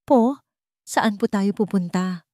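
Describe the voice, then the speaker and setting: A woman's voice: medium pitch, takes it slowly, mellow, dry recording, clean studio sound, pitched above the performer's own voice, lightly acted. A woman voicing a young housemaid in a drama story, polite, earnest and deferential. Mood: surprised, questioning. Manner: respectful, earnest.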